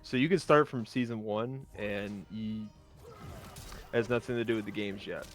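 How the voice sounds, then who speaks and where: A man on a discussion panel chatting casually, haltingly, often repeating words as he goes. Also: fairly low pitch, faint music behind. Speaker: round table panelist. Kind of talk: casual chat.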